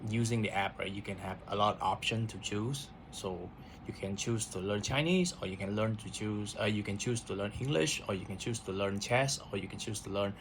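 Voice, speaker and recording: Brief: lowish-voiced man, halting vlog chat, faint hiss behind. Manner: hesitant, repeats words, many fillers. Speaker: vlogger. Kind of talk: casual spoken diary.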